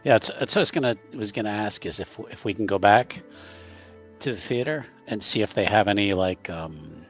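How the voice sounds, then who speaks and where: A man playing a tabletop roleplaying game, chatting casually and haltingly with repeated words. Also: on the low side, fairly bright tone, lo-fi sound, soft background music. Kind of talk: casual chat.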